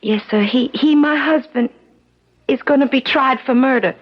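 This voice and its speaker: An actress playing an anxious, blunt young wife who presses insistently, in an old-time radio drama. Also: female voice, sits high, normal speed, muffled recording. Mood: doubtful, anxious. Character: anxious, sharp-tongued young wife of an accused man.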